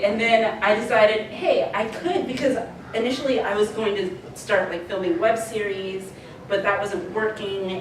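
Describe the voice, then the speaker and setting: A woman hosting an informal convention panel as the creator of a podcast. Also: medium pitch. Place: indoor convention panel room. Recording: echoey, noisy convention room, distant mic.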